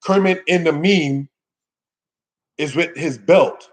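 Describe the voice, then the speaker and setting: A man with a somewhat high voice gives an informal Bible lesson. He speaks as a teacher to his congregation, exhorting them emphatically and putting rhetorical questions to them. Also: slow. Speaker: religious teacher giving a scripture lesson.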